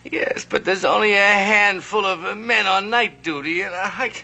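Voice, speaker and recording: Vain old general, high swoopy voice, slow and fussy, hissy old tv track. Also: male voice, pushed and bright, full character voice, rough recording. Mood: flustered. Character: vain aging male army general, flustered. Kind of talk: 1950s TV western acting. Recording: old TV soundtrack with hiss.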